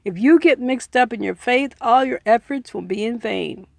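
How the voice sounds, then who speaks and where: A woman's voice: fairly high pitch, normal speed, vocal fry, dry recording, clean studio sound. A woman reading a book aloud earnestly, in an informal audiobook style with personal asides. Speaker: reader and commentator.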